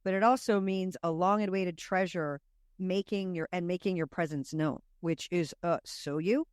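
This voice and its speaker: Smooth-voiced woman, podcast co-host. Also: fairly low pitch, normal speed, no room sound, clean studio sound. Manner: lively, listing facts she looked up. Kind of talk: casual chat.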